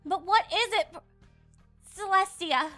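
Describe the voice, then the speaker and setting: A woman voicing an earnest, flustered young pony princess and student in a cartoon-style fan reading, hesitant and excitable. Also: extremely high pitch, measured pace, faint music behind, full character voice. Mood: flustered, curious. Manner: hesitant, self-correcting, excitable.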